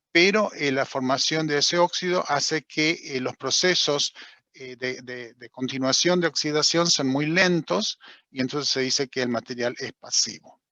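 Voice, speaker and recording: A man with a foreign-sounding accent in Spanish delivers a technical talk, often pausing on fillers and restarting sentences. His voice is bright and forward. The audio is dull and dry, like a remote call. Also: a little high, dry recording. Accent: non-Argentine accent in Spanish. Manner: hesitant, many fillers and restarts. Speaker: seminar lecturer on corrosion. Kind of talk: technical webinar talk with slides.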